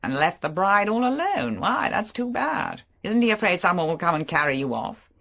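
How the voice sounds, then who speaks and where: A reader voicing a brash, overfamiliar man who talks loudly and jovially in an audiobook. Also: mid-range voice, sing-song, normal speed, creaky, voice pushed up, heavily acted. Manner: loud, jovial, teasing. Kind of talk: audiobook character voice.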